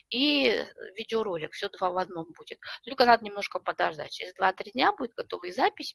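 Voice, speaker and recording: Middle-aged female webinar host, gravelly voice, dry webcam sound, patient and explanatory. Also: medium pitch, fairly bright tone, no room sound. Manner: friendly, explanatory, with frequent fillers. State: recovering from a cough. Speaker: webinar presenter, librarian and teacher. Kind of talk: online webinar walkthrough. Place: home room with bookshelves. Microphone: webcam video call.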